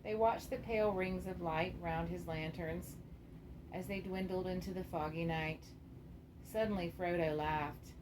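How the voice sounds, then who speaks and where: Low-pitched woman narrator, noisy recording. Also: fairly even intonation, measured pace. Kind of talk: scripted storybook narration. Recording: clearly noisy amateur recording.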